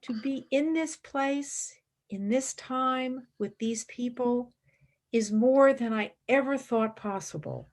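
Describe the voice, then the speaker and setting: A woman acting in a radio play as an idealistic, exacting young philosophy student, earnest and expressive. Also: sits high, slow. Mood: fervent.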